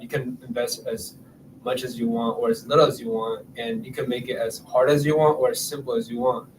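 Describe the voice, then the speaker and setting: A young man from Rensselaer, New York, on a youth panel, explaining things in a casual way. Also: medium pitch. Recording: distant mic with background noise.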